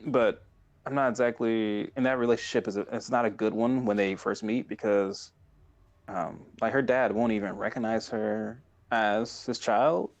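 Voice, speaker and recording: A man in his thirties co-hosting a podcast in casual chat, retelling things in an excitable, rambling way. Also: fairly low pitch, normal speed. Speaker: podcast co-host.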